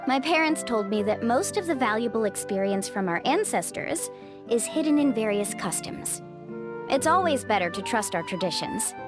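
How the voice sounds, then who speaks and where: A woman's voice: on the high side, expressive, moderate pace, music in the background, close to an everyday voice. A woman playing an upbeat, caring young tour guide in a video game, with a reassuring, confident manner. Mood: reflective, friendly.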